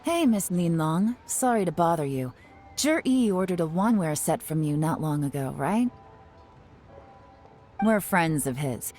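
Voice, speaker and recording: An actress playing a cool, shrewd, composed woman investigator in a video game, speaking in a measured, deliberate way. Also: lowish voice, very animated. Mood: smooth, casual. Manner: measured, smooth-talking, deliberate. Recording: soft music behind the voice.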